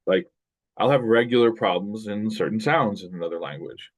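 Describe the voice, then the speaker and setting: American man, very low voice, rambling lecture, lots of fillers and restarts, desk webcam recording. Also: expressive, moderate pace, husky. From United States. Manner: loose, rambling delivery with many fillers and restarts. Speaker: college linguistics instructor. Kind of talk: recorded lecture over screen share. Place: indoor room at a desk.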